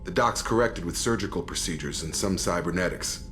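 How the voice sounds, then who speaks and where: An actor playing a gruff military officer in a video game, blunt and matter-of-fact. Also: male voice, deep voice, a little fast, a bit bright, slight background noise. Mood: sober. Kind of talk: video game dramatic acting.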